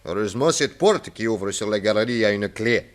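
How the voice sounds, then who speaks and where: An actor playing a confident, unflappable private detective in a French radio serial, breezy and commanding. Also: male voice, fairly low pitch, lively intonation, normal speed, lightly acted. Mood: mock indignant.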